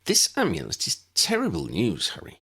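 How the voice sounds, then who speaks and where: A man's voice: on the low side, huge pitch swings, moderate pace, creaky, bright, forceful tone, slightly rough, heavily acted. A man voicing a serious, caring and clever woman in an audiobook. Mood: grave. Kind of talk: audiobook character dialogue.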